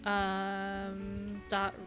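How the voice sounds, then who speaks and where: A woman's voice: mid-range voice, completely flat delivery, extremely slow. A woman in her thirties hosting a casual live coding stream, playful and thinking aloud in fragments. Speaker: live-stream host and web developer. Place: indoor room, live stream. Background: guitar music.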